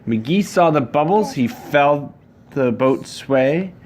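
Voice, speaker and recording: A man reading a children's picture book aloud to his child, with a measured, rhyming storybook delivery. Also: fairly high pitch, animated, loud music behind the voice.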